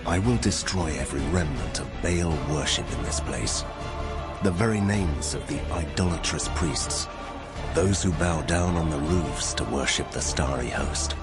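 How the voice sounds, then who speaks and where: God passing judgment, super low voice, stern and grand, dramatized bible with music behind. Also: male voice, measured pace. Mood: wrathful, solemn. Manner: solemn, commanding declamation. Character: God, wrathful male deity pronouncing judgment. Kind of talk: dramatized scripture reading.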